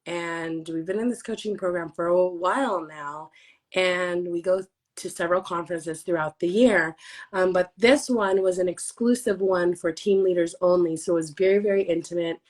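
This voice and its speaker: Hoarse woman, lowish voice. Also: fairly even intonation, normal speed, darkish tone. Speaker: real estate team host. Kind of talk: casual live stream chat.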